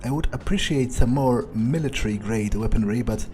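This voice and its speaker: A man with a soft, mellow voice at a middle pitch talks through his plans as an in-character game player. He muses hesitantly and keeps things understated, with game music playing behind him. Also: moderate pace. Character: calculating, detached vampire mercenary for hire. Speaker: player voicing their character in a let's play. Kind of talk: in-character gameplay commentary, thinking aloud.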